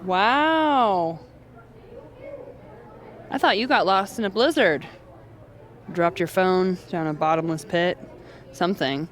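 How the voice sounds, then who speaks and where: A woman's voice: fairly low pitch, slow, long pauses. A woman voicing a blunt, wry young woman with a dry, frank manner in a video game. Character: young woman bartender, blunt, wry, easygoing, mildly hurt. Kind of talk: naturalistic video game acting.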